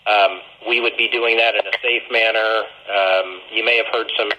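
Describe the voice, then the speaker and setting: A man with a husky voice giving an official report at a public meeting in a halting, diplomatic way. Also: on the low side, steady tone, bright, forceful tone, poor audio. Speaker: county administrator.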